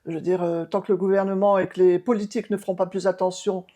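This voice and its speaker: Emphatic older woman, dark voice. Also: fairly low pitch, flat delivery, quick pace. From France. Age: 70s. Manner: emphatic, hesitant, many fillers and restarts. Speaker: outgoing mayor and candidate. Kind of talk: local TV interview.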